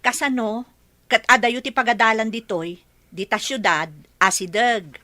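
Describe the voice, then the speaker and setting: A woman's voice: on the high side, a little slow, sharp tone, no room sound. A woman playing a reluctant, grumbling older sister in an Ilocano village radio drama. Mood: surprised questioning.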